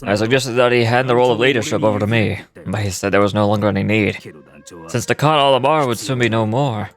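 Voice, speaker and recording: A man voicing a grave, loyal desert gang member in a game, explaining things in a measured amateur read. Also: lowish voice, faint music behind, close to an everyday voice. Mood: somber. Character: grave, loyal male desert gang member recounting his boss's sacrifice. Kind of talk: amateur voice acting of game dialogue.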